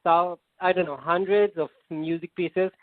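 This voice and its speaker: A man's voice: high-pitched, fairly even intonation, no echo. An Israeli man speaking English as a second language, circling his thoughts with lots of "um" and repeated words. He comes through a narrow, phone-like line. The sound is dry, with no room around it. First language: Hebrew. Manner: halting, many fillers and restarts. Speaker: singer-songwriter guest. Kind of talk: casual interview answers.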